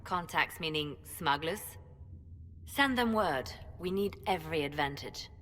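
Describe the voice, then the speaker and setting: A woman's voice: sits low, animated, measured pace, a bit bright, exaggerated voice. A woman playing a shrewd, principled advisor in a video game, controlled and pointed. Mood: cool, calculating. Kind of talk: video game cutscene acting. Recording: reverberant hall, faint ambient noise.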